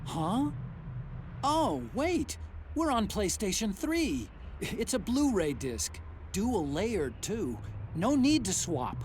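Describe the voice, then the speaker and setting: Geeky excitable helper in a video game, voice jumps around a lot, chatty, slight background noise. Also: male voice, high-pitched, takes it slowly, heavily acted. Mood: flustered, excited. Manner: chatty, animated. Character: nerdy, excitable male tech-support sidekick. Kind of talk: comic video game dialogue.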